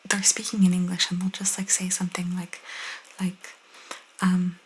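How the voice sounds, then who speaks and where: A young woman whispering softly and intimately as an ASMR host, reading and chatting casually close to the microphone. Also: on the low side, monotone, normal speed, noisy background. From Italian cultural background. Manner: soft, intimate, chatty asides.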